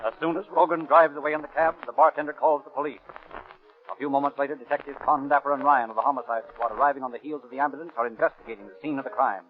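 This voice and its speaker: Brisk male narrator, vintage radio sound. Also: medium pitch, steady tone. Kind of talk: 1930s radio crime drama narration. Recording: tinny vintage 1930s radio recording, music.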